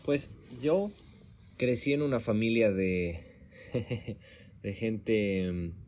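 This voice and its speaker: Low-voiced man chatting over faint noise. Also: animated, extremely slow, darkish tone. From Mexico. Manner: conversational, with restarts and self-corrections. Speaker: interviewer. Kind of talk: casual conversation. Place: home room in Sweden.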